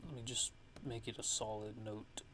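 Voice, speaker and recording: Male ASMR tutorial host, low and monotone, casual and halting, unscripted feel, noisy recording. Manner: halting, loose, unscripted explaining. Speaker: music production tutorial host.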